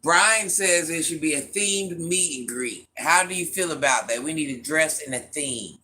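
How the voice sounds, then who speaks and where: A woman's voice: low-pitched, lively intonation, moderate pace, pushed and bright, slight background noise. A woman hosting a casual livestream, reading viewer comments aloud and teasing them by name with a laugh. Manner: calls herself Granny.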